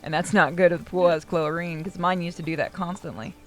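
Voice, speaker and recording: A man's voice: on the high side, steady tone, quick pace, a bit bright. A man playing a video game and bantering casually in a teasing, jokey way, with game music behind him. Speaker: player in a game playthrough.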